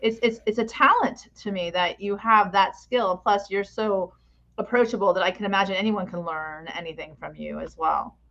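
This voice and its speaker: A woman's voice: mid-range voice, moderate pace, a bit bright, lo-fi sound. A middle-aged woman hosting a conversational podcast interview, effusive and encouraging, with rambling questions. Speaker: podcast host interviewing a guest.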